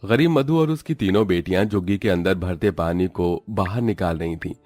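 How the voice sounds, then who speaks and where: Male narrator for dramatic tales, low register, close dry recording, faint music bed. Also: moderate pace, a little mellow, no echo. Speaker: storyteller narrator. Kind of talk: scripted dramatic story narration.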